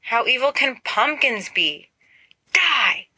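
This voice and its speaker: A woman plays a teenage wizard boy from a storybook, all brash bravado over awkward infatuation. The voice is bright and raised, exclamatory, and very dry in the recording. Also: fairly high pitch, very animated, takes it slowly, husky, dry recording, voice pushed up, full character voice. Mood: battle-fired bravado.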